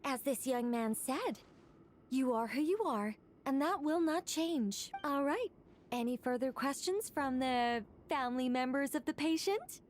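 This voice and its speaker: High-voiced, solemn female guide. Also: animated, a little slow, slight background noise. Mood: calm, solemn. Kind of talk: scripted video game dialogue.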